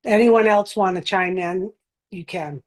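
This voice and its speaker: Slow-paced woman co-hosting a panel. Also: medium pitch, darkish tone. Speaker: panel co-host. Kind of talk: casual appreciative panel chat.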